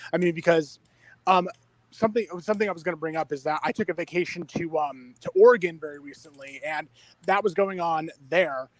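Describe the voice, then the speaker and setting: A man's voice: on the high side, dry recording, poor audio. A man from Idaho in a casual podcast chat, indignant and hesitant, full of fillers. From Idaho, USA.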